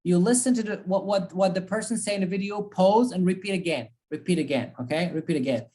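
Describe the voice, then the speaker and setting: A woman's voice: medium pitch, darkish tone. Patient woman teacher, repeating herself. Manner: repeats key phrases, checks in with "okay?". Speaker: English speaking teacher. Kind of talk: giving feedback in an online class.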